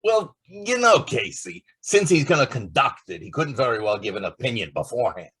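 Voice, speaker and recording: A man's voice: mid-range voice, sing-song, fairly quick, fairly bright tone, husky. A man playing a keen, breezy, emphatic star reporter in an old-time radio drama.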